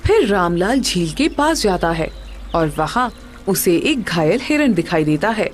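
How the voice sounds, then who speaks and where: Lively female story narrator. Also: mid-range voice, a little slow, a little hiss or hum. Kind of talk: scripted moral story narration.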